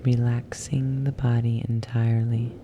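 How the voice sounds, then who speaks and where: A soft, hushed voice guiding a yoga nidra relaxation in ASMR style, soothing and unhurried, slipping at times into a whisper. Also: mid-range voice, flat delivery, noisy background. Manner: soothing, unhurried, with long pauses. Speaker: guided relaxation instructor.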